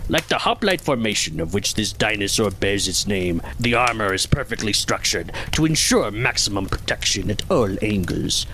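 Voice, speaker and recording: A man's voice: on the low side, big pitch swings, moderate pace, hardly any pauses, background noise. A man playing a grandiose, portentous saga narrator in an overly dramatic scripted style. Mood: grave. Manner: portentous, solemn. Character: solemn, grandiose male narrator of an epic saga. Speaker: podcast host and narrator. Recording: dramatic music behind the voice.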